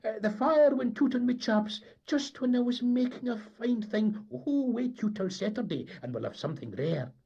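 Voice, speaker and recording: High breezy cook guy, all excuses, man putting it on, lo-fi audio. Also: lively intonation, normal speed, gravelly, few pauses, mellow, husky, heavily acted. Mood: affable. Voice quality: hearty, excuse-making. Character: cheerful Scottish ship's cook, grown man. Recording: dull lo-fi recording.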